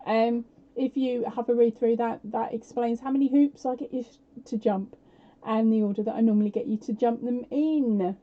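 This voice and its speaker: A woman hosting a live prize quiz on her stream, chatty and self-deprecating with lots of fillers, her voice a little croaky. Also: fairly high pitch, steady tone, normal speed. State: slightly croaky voice. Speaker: podcast host running a live prize quiz. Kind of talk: casual live-stream chat. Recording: dull lo-fi home stream audio.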